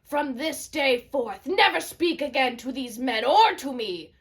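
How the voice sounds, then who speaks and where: A woman playing an indignant, scornful old blind male prophet with drawn-out, emphatic delivery in an amateur puppet-show tragedy. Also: sits high, lively intonation, a bit bright. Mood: stern.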